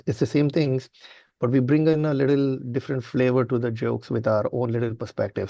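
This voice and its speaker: Mellow man speaking on a panel. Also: medium pitch, no room sound. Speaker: comedian and comedy show producer on a panel. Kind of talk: casual panel discussion.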